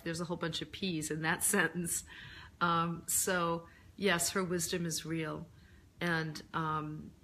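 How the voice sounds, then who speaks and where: Middle-aged woman, hoarse and kinda low after laryngitis, chatting gently with readers, lots of ums. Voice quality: deeper than usual voice. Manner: gentle and reflective, many ums. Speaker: author hosting a live reader Q&A. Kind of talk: casual live chat answering comments.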